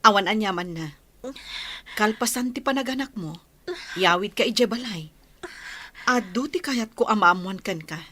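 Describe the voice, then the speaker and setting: An actress playing a caring nurse who takes charge in a radio drama, commanding yet reassuring. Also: female voice, medium pitch, moderate pace, pauses often, sharp tone, dry recording. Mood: kind, firm. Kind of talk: Ilocano radio drama acting.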